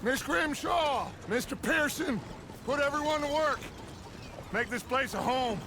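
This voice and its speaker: An actor playing a theatrical, eloquent middle-aged outlaw gang leader with an American accent in a cinematic video game. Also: male voice, very high voice, lively intonation, measured pace, background noise, exaggerated voice. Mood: rousing, resolute. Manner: grandiloquent, persuasive, orating.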